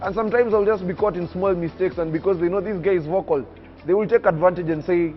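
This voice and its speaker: A man's voice: sits high, quick pace, lo-fi sound. A nineteen-year-old man from Kenya telling his life story in an emphatic, oratorical way. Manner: oratorical, emphatic, preacher-like cadence. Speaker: young public speaker interviewed on TV. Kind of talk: earnest personal storytelling.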